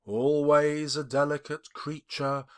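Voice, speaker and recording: A solemn spirit guide from a storybook, acted out by a man. The ghost speaks slowly and calmly, with a knowing air. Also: on the high side. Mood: solemn, gentle.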